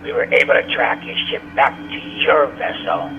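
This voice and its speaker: Man as a gravelly, menacing alien guild master. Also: medium pitch, lively intonation, phone-quality sound, soft background music. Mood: calm menace. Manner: measured, courtly threat. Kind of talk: sci-fi audio drama acting.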